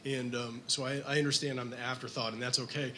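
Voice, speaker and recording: A man's voice: mid-range voice, little pitch movement, fast talker, a bit bright, slight background noise. A man in his forties, a physician, giving a conference lecture in a casual, self-deprecating way with frequent ums and restarts, into a podium microphone in a hospital conference room.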